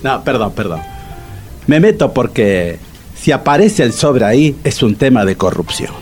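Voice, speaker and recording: An actor playing a wisecracking, meddling Argentine bachelor sidekick in a radio comedy, insistent and quick to interject. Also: male voice, mid-range voice, very animated, a little mellow, faint music behind, natural voice. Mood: wry. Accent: Argentine, Rioplatense. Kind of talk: radio comedy drama acting.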